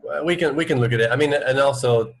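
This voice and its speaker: A man's voice: medium pitch, fast, creaky. A man co-hosting a radio show in casual chat, tentatively repeating and rephrasing as he goes.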